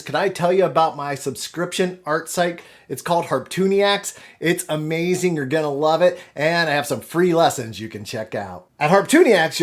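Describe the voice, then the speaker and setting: Man, drawing lesson host, casual, chatty, playful thinking aloud, prominent music bed. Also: fairly high pitch. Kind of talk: casual step-by-step drawing tutorial. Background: background music.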